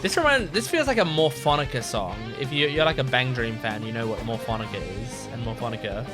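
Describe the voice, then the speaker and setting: A man's voice: medium pitch, lively intonation, fairly quick, loud music behind the voice. A man hosting a reaction video with casual, playful, rambling commentary.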